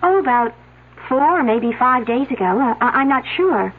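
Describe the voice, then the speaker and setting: An actress playing a bright young female college student, quick and a little flustered. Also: a little high, animated, slightly rough. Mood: eager. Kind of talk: 1970s radio drama acting. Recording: tinny old radio broadcast.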